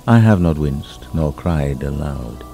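Very deep, solemn male poetry reader. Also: expressive, vocal fry, dark tone, faint music behind. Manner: solemn, deliberate delivery with long pauses. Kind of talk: dramatic inspirational poetry reading.

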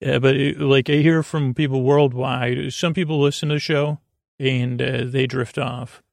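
A man with a creaky voice hosting a podcast in a rambling, soothing, casual bedtime monologue, halting and often trailing off mid-thought. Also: steady tone, a little mellow, dry recording, clean studio sound. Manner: soothing, low-key. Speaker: podcast host.

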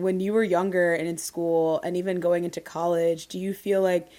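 A woman hosting a podcast, asking questions and summing up her guest's answers in a measured, empathetic way. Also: fairly low pitch, dark tone. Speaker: podcast host. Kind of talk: interview questions and reflections.

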